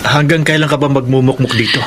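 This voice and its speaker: Man acting as guilty Filipino husband, medium pitch, apologetic, exasperated, earnest radio drama, heavy background noise. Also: steady tone, fast talker, natural voice. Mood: weary, impatient. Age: adult.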